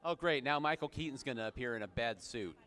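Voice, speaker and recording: Lively, joke-cracking man announcing roller derby. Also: medium pitch, fast talker. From United States. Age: 40s. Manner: excitable, jokey, rising to shouts during play. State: tired low voice after a show. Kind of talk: live sports commentary.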